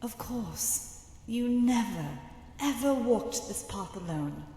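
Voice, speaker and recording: A woman voicing the knowing spirit of a dead sister in a game mod, measured and portentous, with hushed phrase endings. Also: a little high, lively intonation, slightly rough, full character voice. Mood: tender, forgiving. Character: long-dead sister's spirit, solemn, knowing, finally forgiving. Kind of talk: dramatic video game mod voice acting.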